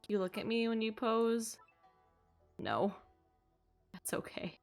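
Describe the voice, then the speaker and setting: A woman's voice: fairly high pitch, sing-song, slow pace, vocal fry. A woman game streamer chatting casually with viewers and commenting as she plays. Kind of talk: casual livestream chat and gameplay commentary. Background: game music.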